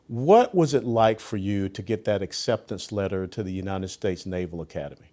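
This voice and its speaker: A man's voice: fairly low pitch, lively intonation, moderate pace, warm tone. A man hosting an interview, asking conversational, probing questions. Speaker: host.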